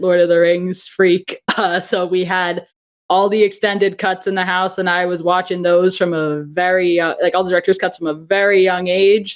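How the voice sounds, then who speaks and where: A young adult woman, a guest on an interview over a video call, talking enthusiastically about her audio drama and thinking aloud with frequent restarts. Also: on the low side. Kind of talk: casual interview chat.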